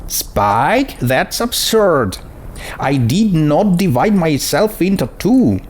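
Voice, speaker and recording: A smug female pony mage from a fantasy storybook, acted out by a man, pitched well up and swooping as she explains herself. She is assured and dismissive, taking her time over the words. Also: sing-song, slow, exaggerated voice.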